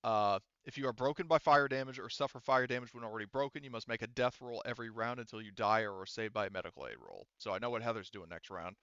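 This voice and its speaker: A man's voice: medium pitch, quick pace, hardly any pauses, a bit bright, clear, resonant tone, no echo, dull audio. A man talking casually and with some swearing as game master, explaining rules to players at a tabletop game. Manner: casual, profane.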